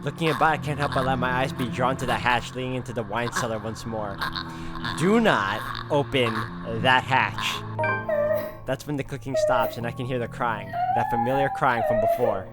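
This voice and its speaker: A man's voice: mid-range voice, moderate pace. A man hosting a game playthrough, reading the story text dramatically aloud and exclaiming at it. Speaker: gaming video host. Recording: loud game music behind voice. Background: game music.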